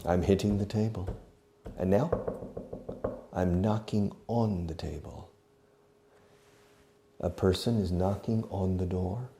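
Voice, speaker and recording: A man teaching a beginner English lesson, explaining slowly and acting out each phrase, with short glosses in another language. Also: low-pitched, mellow, slight background noise. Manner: slow, deliberate, demonstrative. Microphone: video recording.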